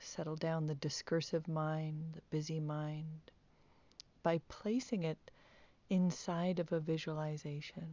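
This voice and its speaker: A woman teaching guided meditation, speaking softly with calm, gentle instructions and long pauses. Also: low-pitched, no room sound, muffled recording.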